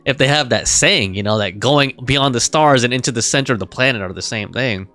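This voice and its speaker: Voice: medium pitch, fairly quick, a bit bright, soft background music. A game streamer giving casual live commentary and chatting with viewers in laid-back, slangy phrasing.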